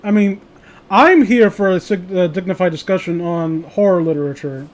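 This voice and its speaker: A man's voice: high-pitched, normal speed. A man hosting a casual discussion of horror stories, rambling, joking and swearing freely. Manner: rambling, foul-mouthed, joking. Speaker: host of a horror story reading show. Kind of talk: casual chat and commentary on stories. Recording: noisy home recording, clear background hiss.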